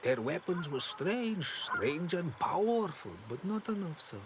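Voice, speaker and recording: A slow, cryptic old hermit woman. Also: on the low side, sing-song, noisy background. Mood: detached. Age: older. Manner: slow, cryptic, musing, halting. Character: enigmatic forgetful old island hermit woman of the marsh. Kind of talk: video game character acting.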